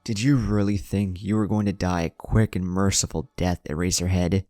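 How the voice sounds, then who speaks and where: A man's voice: low-pitched, level tone, darkish tone, no room sound, lightly acted. A man voicing a deranged, vengeful male villain who rants and draws out his threats in an audio story. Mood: menacing contempt. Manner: ranting, drawn-out threats.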